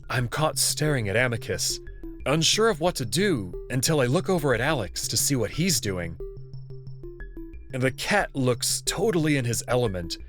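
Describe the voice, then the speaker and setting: A man reading a visual novel aloud in the first person, expressive and prone to sudden outbursts. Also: mid-range voice.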